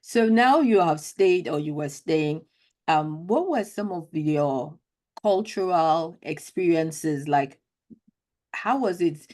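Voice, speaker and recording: A woman's voice: deep voice, expressive, slow pace, darkish tone. A woman hosting an interview, asking long, searching multi-part questions and affirming her guest often. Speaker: podcast host. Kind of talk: conversational interview.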